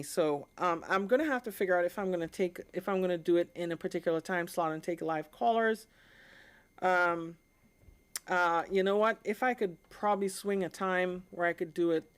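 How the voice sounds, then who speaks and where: A woman hosting a livestream in a casual, informal, conversational way, often checking in with the listener. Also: lowish voice. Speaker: live stream host, amateur radio hobbyist.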